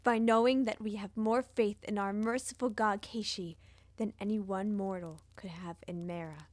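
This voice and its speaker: A woman's voice: mid-range voice, fairly even intonation, normal speed, dry recording, close to an everyday voice. A woman playing a fervent, defiant necromancer, a devout second in command, declaiming in a fantasy audio drama. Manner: zealous, declamatory.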